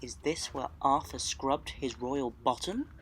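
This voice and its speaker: A man's voice: medium pitch, a little slow, noisy background. A man narrating a travel story as a storyteller, speaking to camera outdoors with a wry, playful manner. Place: outdoors on a cycling trip.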